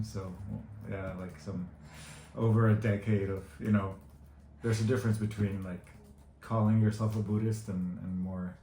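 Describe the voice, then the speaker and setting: A Guatemalan-born man in his thirties speaks casually, hesitating and restarting often. His voice sits fairly low, and the room around him rings noticeably, with a faint hiss underneath. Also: warm tone. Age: 30s. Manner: hesitant, many fillers and restarts. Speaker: interviewee. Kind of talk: casual interview answers. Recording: echoey room with faint noise.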